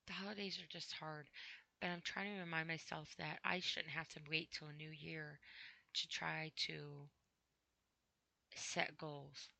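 A tired woman podcasting alone, rambling in a halting, apologetic way with many fillers after crying earlier. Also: sits low, flat delivery, creaky, bright, forceful tone, no echo. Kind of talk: casual rambling monologue. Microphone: computer mic with headphones on.

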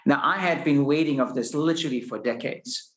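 Middle-aged man from Austria, founder guest, measured, echoey office. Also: on the high side. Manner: measured, many restarts and fillers. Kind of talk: podcast interview answers. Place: company office.

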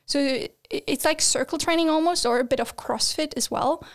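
A woman's voice: sits high, normal speed. A woman in her twenties, a student guest, talking casually with lots of fillers and laughter. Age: 20s. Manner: chatty, laughs often, many fillers and restarts. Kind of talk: casual podcast chat.

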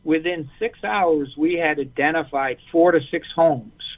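Earnest guy on a phone line, kinda high voice, keeps restarting sentences. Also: a little slow. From Massachusetts, US. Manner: earnest storyteller, frequent restarts. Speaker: guest, nonprofit representative. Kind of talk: casual radio interview.

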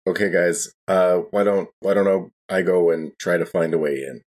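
Casting: male tabletop player, low-pitched and husky, casual conversational delivery. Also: steady tone, normal speed, a little mellow. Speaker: tabletop role-playing game player. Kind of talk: casual in-game chat.

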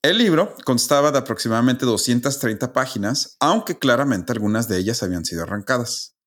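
A man's voice: medium pitch, lively intonation, fairly quick, fairly bright tone. A man with a Mexican accent hosting a podcast, telling a story conversationally with asides and checking tag questions. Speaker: podcast host. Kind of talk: casual storytelling with friends.

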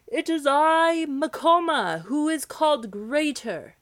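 A woman doing a bold shouting young warrior. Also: high-pitched, slow pace, no room sound, pitched above the performer's own voice. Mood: defiant. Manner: shouting, declamatory. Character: bold young male warrior hero, proud.

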